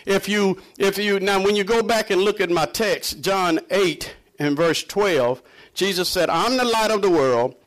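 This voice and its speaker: Male preacher, very high-pitched, emphatic and conversational sermon delivery with challenging rhetorical questions. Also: measured pace, fairly bright tone, low-quality recording. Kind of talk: impassioned sermon to a congregation.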